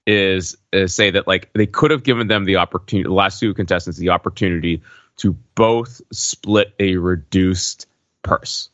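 Deep, forceful-toned man, hesitant delivery. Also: steady tone, normal speed, dry recording, muffled recording. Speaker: podcast host. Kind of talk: casual analytical chat.

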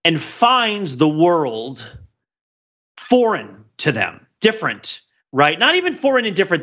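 Bright, forceful man, slightly dull recording. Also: a little high. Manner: deliberate, mock-academic, measured phrasing with rhetorical tags. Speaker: podcast panelist. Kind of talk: analytical commentary.

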